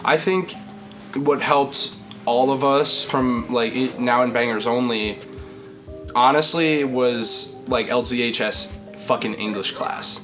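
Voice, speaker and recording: A young man with a deep, projecting voice, chatting casually as a podcast host, rambling and swearing freely. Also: slow, over music. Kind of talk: casual chat.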